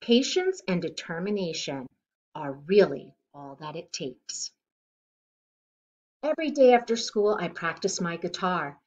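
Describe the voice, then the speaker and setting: Woman, middle-aged, lowish voice, expressive teacher-style read-aloud of children's verse, muffled home recording. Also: a little slow. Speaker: teacher reading a picture book aloud. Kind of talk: read-aloud storytelling of rhyming children's book. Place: living room at home, indoors. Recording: dull lo-fi webcam sound.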